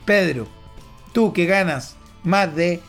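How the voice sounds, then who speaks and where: A man's voice: high voice, slow pace, soft background music. A Chilean man with a Chilean accent giving a pompous, boastful comic political rant, swearing freely. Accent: Chilean Spanish. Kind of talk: comic political character monologue.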